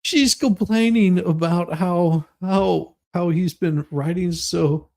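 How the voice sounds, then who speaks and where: A man's voice: high voice, slow, gravelly, a little mellow. A man hosting an online dramatic reading, commenting with sarcastic side remarks and a readiness to break into laughter. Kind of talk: mocking dramatic reading with asides.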